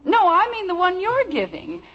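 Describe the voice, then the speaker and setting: A woman's voice: very high-pitched, huge pitch swings, moderate pace, a bit bright, heavily acted. An actress playing an exasperated, theatrical middle-class wife and mother in a 1950s radio sitcom. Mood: flustered. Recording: muffled, very noisy old radio recording.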